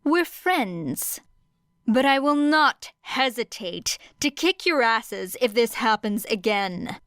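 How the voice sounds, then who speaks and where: A woman's voice: fairly high pitch, expressive, slow, fairly bright tone, no room sound, studio quality. A woman voicing a stern, exasperated female referee with a blunt, firm manner in an audiobook story.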